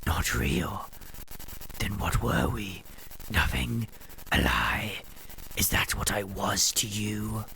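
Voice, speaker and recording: A man's voice: lowish voice, big pitch swings, bright, forceful tone, a little hiss or hum, full character voice, rough recording. A man whispering as a bitter ghostly spouse. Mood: bitter accusing. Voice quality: hoarse whisper. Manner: cold, accusing, slow.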